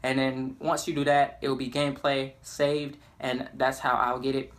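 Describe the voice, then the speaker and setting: A young man talks in an informal, rambling way, like a gamer telling stories to viewers. His delivery is casual and loose, with animated bits when he acts things out. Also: mid-range voice, normal speed. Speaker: YouTube gamer and storyteller. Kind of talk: casual storytelling over gameplay.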